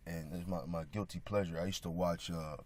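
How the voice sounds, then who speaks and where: Deep hoarse man, halting talk. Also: steady tone. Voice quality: raspy. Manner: halting, many restarts, clears throat often. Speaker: religious video speaker. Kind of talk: casual religious talk.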